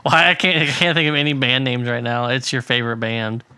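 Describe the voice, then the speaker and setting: A man's voice: medium pitch, a little fast, bright, forceful tone, clean tone, no echo. A man co-hosting a podcast who chats in an easygoing, joking way and trails off when he can't recall names. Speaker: podcast co-host. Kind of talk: casual chat.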